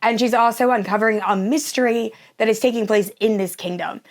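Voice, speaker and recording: Hoarse young woman vlogging about books. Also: fairly high pitch, fairly even intonation, fairly quick. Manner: upbeat, gushing about books. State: hoarse, voice mostly gone after flu. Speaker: book channel host. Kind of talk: casual book vlog.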